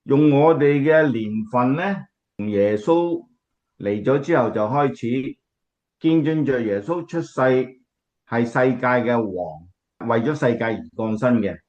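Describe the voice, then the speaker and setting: Radio drama role: man playing devout Cantonese grandpa, gentle preaching manner, mid pitch, lo-fi sound. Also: measured pace, natural voice. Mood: earnest teaching.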